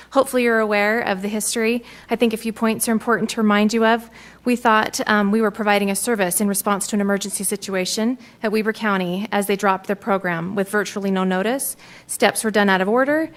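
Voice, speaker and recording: A woman's voice: mid-range voice, fairly even intonation, quick pace. A woman who owns a business, reading an earnest, defensive prepared statement at a city council meeting.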